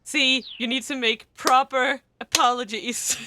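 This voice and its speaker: A young woman streamer who speaks Danish natively, reading a visual novel aloud and chatting with viewers, giggly and excitable with sudden squeals. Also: high voice, slow, background noise. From Denmark.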